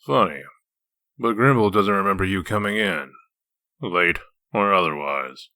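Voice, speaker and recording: Man acting gruff, low-voiced sardonic detective. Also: unhurried, sharp tone, no echo, heavily acted. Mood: dry, probing. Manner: needling, dry, interrogating. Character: gruff, sardonic male wolf detective in human form. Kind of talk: comic dub dramatic acting.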